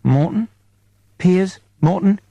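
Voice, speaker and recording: A man's voice: sits high, slow pace, gravelly, mellow, no room sound, dull audio, pitched above the performer's own voice, full character voice. A man playing a bewildered, alarmed man waking from sedation in an audiobook, groping for words and questioning in disbelief. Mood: confused, groping.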